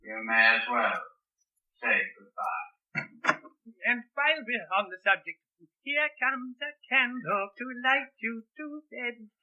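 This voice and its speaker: An actor playing a cold, gloating enforcer who gives slow, taunting commands in an old-time radio drama. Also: male voice, extremely high pitch, sing-song, full character voice. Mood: mocking, sinister. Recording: muffled, echoey old radio recording, far off.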